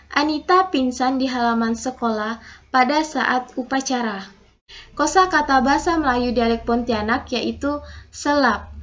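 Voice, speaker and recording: A young woman with a high voice works through her prepared presentation in a steady, methodical way, reading out example sentences and breaking them down one by one. The recording is dull and lo-fi. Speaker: student presenting a final assignment.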